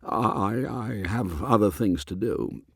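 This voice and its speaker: A man's voice: fairly low pitch, animated, takes it slowly, gravelly, slightly rough, no room sound, studio quality, exaggerated voice. A man playing a meek, exasperated middle-aged American businessman with a fretful, hesitant manner in an audiobook. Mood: feeble, flustered.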